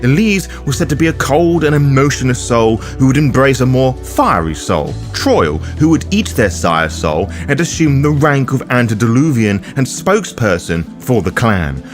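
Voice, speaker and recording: A man's voice: medium pitch, big pitch swings, normal speed, hardly any pauses, faint music behind. A man delivering scripted video-essay narration in an emphatic, opinionated manner, as the host of a lore guide.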